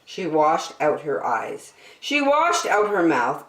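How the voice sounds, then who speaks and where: A woman reads a children's story aloud at an unhurried pace. Her pitch swings widely as she stresses words with care, and the room sounds echoey. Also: distant mic. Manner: expressive, with deliberate emphasis. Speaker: children's story-time reader. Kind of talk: animated picture-book read-aloud.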